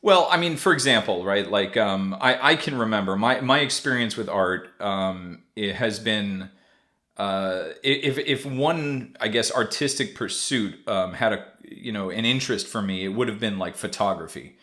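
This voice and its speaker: Low-voiced rambling male podcast host. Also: moderate pace, pushed and bright. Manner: rambling questions full of restarts and fillers. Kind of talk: casual interview chat.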